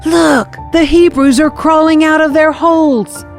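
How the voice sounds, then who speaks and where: A woman's voice: high voice, steady tone, unhurried, soft background music, voice pushed up. A woman voicing a jeering enemy soldier in an audio scripture story, calling out taunts. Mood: mocking. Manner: shouted taunting.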